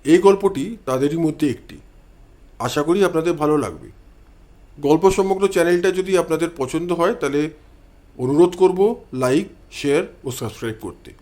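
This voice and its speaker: A man narrates an audio story. His voice sits fairly high, and he reads as a storyteller hosting the tale. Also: expressive, normal speed, a little mellow. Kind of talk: dramatised scripted storytelling.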